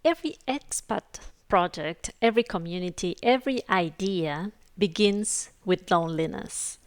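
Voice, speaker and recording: A woman's voice: fairly low pitch, moderate pace. A woman hosting a podcast, delivering scripted, reflective narration addressed to the listener. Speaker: podcast host.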